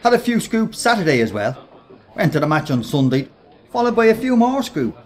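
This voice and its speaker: A man's voice: sits high, big pitch swings, fairly quick. An actor with a Dublin accent playing a brash, bullying working-class man in a radio play, cocky and needling in his slangy talk. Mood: boisterous banter. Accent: Irish, Dublin. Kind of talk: radio play acting in colloquial dialogue.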